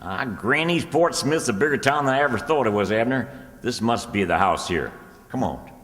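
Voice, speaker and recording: A man's voice: mid-range voice, animated, moderate pace, fairly bright tone, slight background noise, natural voice. A man playing an exasperated, bossy country bill collector in a radio comedy, insistent and folksy in his rural grammar. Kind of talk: old-time radio comedy acting on stage. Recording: echoey hall with long reverb.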